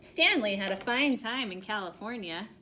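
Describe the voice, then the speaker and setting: A woman reading a children's story aloud expressively, pausing to explain things simply to young listeners. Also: medium pitch, animated, bright, forceful tone, a little hiss or hum.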